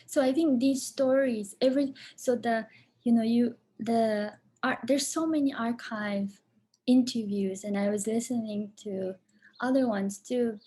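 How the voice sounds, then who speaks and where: A woman's voice: fairly high pitch, steady tone, a little slow, mellow, slightly rough. A woman who grew up in Japan, speaking English as a second language, answering questions about her film in a hesitant way with many restarts. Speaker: film director. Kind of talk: casual Q&A answers.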